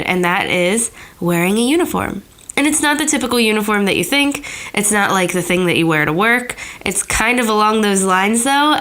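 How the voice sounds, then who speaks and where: A woman's voice: medium pitch, fairly bright tone. A young woman hosting a podcast alone, sounding slightly nasal from a cold, chatty and encouraging with small restarts. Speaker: podcast host. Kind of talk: casual podcast monologue.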